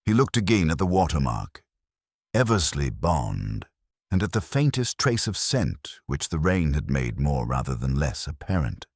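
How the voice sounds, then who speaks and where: Male solo narrator for detective drama: bass-deep, husky, dryly witty and formal, expressive intonation. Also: no room sound. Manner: measured, dry, wry, formal delivery. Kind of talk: scripted literary narration of a mystery drama.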